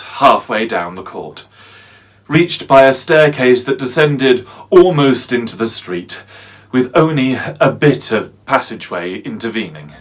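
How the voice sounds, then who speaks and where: A man playing a haunted, fearful narrator in a dramatic reading of a horror tale, speaking deliberately and theatrically with halting pauses. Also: medium pitch, a bit bright, husky, full character voice. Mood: uneasy relief. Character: haunted, fearful man recounting a nightmarish pursuit. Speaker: actor, narrator.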